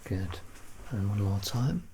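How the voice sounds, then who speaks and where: A soft-spoken man in a calm, reassuring ASMR medical exam roleplay, playing the examiner. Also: fairly low pitch, takes it slowly, noisy background.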